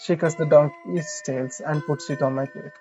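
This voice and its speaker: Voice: medium pitch, steady tone, normal speed, warm tone, highs cut off. A game streamer reading a visual novel aloud with casual side comments, stumbling now and then over the lines. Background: game music.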